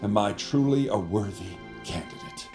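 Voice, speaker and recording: Pompous, low-voiced theatrical villain played by a man. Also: animated, darkish tone, soft background music, close to an everyday voice. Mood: self-important. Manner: grandiose, formal, deliberate, dramatically theatrical. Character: pompous theatrical method actor, secretly a villainous vampire familiar. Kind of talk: audio drama acting.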